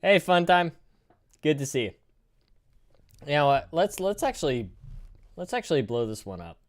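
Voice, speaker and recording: Animated man in his thirties streaming. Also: on the high side, moderate pace. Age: 30s. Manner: animated, rambling, profane. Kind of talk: casual live-stream commentary.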